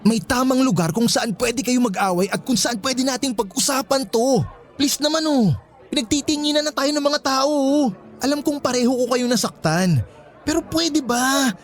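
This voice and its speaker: An actor with a Filipino accent playing a flustered, apologetic young boyfriend, pleading and placating in a radio romance drama. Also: male voice, extremely high pitch, normal speed, soft background music.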